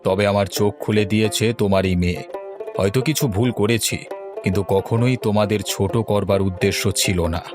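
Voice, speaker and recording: A man acting a low-voiced village landlord. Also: fairly even intonation, a little fast, natural voice. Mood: remorseful. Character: stern landlord turned soft-hearted benefactor. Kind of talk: Bengali cartoon drama dubbing. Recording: music under the voice.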